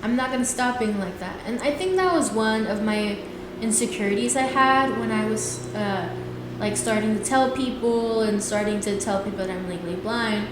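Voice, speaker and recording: A woman's voice: medium pitch, normal speed. A young woman in her twenties talks alone on her podcast, earnest and loosely rambling, with lines that trail off into filler phrases. Her voice sits in a very echoey room, with a faint hiss underneath. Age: 20s. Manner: earnest, rambling, frequent "things like that". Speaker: podcast host. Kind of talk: casual solo podcast talk.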